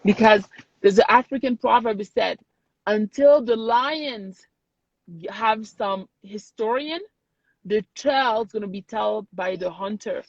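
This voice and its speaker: A woman's voice: medium pitch, slow, no echo. A woman from Haiti, talking earnestly and emphatically in a casual conversation, repeating words for weight. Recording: dry, dull lo-fi sound.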